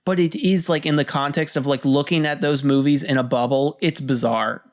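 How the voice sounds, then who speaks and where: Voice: medium pitch, steady tone, brisk. A podcast host with a mid-range voice talks movies casually. The delivery rambles and repeats itself as points get worked out, with swearing scattered all through it. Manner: rambling, repetitive, heavily sweary.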